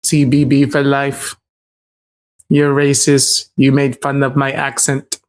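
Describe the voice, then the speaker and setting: A man putting on a mocking, drawn-out imitation of an easily offended, creepy storytelling man. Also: medium pitch, completely flat delivery. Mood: indignant, mocking.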